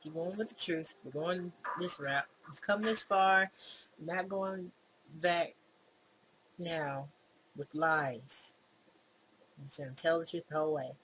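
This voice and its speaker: A woman's voice: deep voice, takes it slowly, pauses often, a bit bright, slight background noise, rough recording. A woman hosting a gaming video, reading visual novel dialogue aloud in a casual, acted-out way with asides. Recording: music behind the voice. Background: game music.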